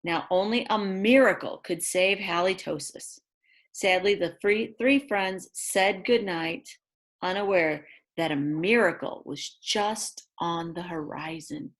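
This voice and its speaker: A woman reads a picture book aloud to young children in an expressive way, adding chatty asides about the pictures. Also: on the low side, slow pace. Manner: expressive, exclaims and gasps over the pictures. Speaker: read-aloud storyteller for children.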